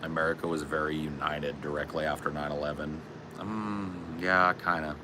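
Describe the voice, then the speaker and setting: A man with a deep voice, co-hosting a podcast in a loose, profane, rambling chat. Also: steady tone, normal speed, noisy background. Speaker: podcast co-host.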